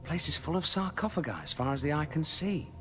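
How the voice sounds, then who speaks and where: An actor playing a brisk, quick-witted space traveller with a dry manner in a sci-fi comedy radio drama. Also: male voice, a little high, a bit bright, soft background music, natural voice. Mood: dry, curious. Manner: dry, urgent.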